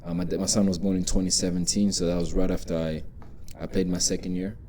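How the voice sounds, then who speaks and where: A man's voice: sits low, little pitch movement, quick pace, mellow, slight background noise. A man in his twenties from Congo, a guest in a casual interview, talking loosely with many fillers and restarts. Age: 20s.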